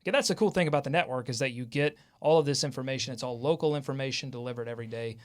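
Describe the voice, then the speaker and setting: A man hosting a sports podcast in a jokey, informal way, talking in a lively style. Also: mid-range voice, racing. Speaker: podcast host.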